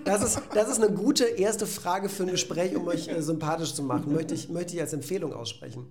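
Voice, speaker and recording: A man from Belgium co-hosting a casual podcast chat, talking in an animated, ironic way and getting loud when he rants. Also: high-pitched, fairly quick, a loud crowd. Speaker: podcast co-host. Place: echoey room. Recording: roomy echo.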